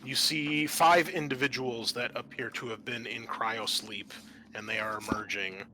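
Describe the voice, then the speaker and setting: A man narrating an improvised tabletop game as game master, halting often mid-sentence. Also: medium pitch, steady tone, background noise.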